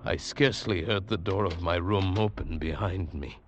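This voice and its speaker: A man's voice: deep voice, moderate pace, dull audio, natural voice. An actor playing a haunted, tormented man telling of his ordeal with witchcraft, fervent and theatrical in 1940s radio style. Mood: hushed, entranced. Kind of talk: 1940s radio drama acting, dramatic first-person narration. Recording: old radio broadcast with loud musical backing. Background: dramatic music.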